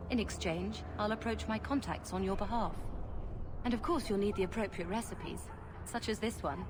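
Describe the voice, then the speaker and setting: A woman's voice: mid-range voice, lightly acted. Actress as haughty, genteel potion-selling lady. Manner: arch, poised, formal. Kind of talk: video game cutscene acting. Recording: clear background ambience noise.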